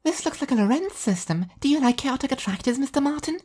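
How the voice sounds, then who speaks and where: A man's voice: extremely high pitch, lively intonation, fast, darkish tone, pitched above the performer's own voice. A man voicing a small, eager, childlike girl angel in an audiobook story. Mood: eager, curious.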